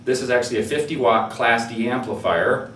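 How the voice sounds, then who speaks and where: A man's voice: medium pitch, a little fast, fairly bright tone. A man giving an informal product demonstration, explaining conversationally and a little hesitantly as a presenter. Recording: roomy, distant mic with faint noise.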